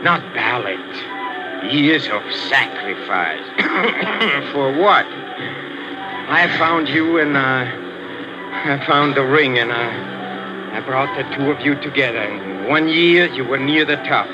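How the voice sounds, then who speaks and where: An actor playing a sinister, fawning old boxing trainer who talks softly and giggles, in a 1940s radio drama. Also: male voice, mid-range voice, animated, slow, music in the background. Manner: soft, ingratiating, giggling, menacing undertone. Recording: hissy lo-fi old radio recording.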